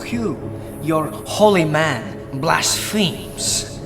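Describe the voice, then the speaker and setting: A man's voice: fairly high pitch, lively intonation, drawn-out words, gravelly, over music, full character voice. A man acting in a radio drama as a Sultan's impetuous, hostile young son, heard at some distance. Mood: scornful accusing.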